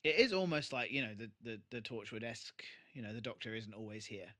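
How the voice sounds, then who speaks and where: A man co-hosting a casual TV re-watch podcast, slangy and animated, acting out reactions as he talks. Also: medium pitch, brisk. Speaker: podcast co-host.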